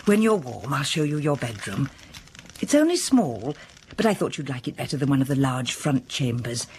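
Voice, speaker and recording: An actress playing a kindly, talkative elderly housekeeper in a radio drama, fussing warmly over a new arrival. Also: female voice, rumbling low, big pitch swings, moderate pace, slight background noise. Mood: chatty. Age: older.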